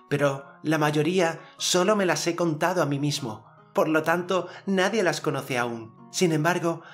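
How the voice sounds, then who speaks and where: A man voicing a confident, generous boy hero telling tales in an audiobook, in a measured manner. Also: fairly high pitch, fairly bright tone, voice pushed up, natural voice. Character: generous, self-assured boy storyteller hero, inventing tales. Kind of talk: audiobook character narration.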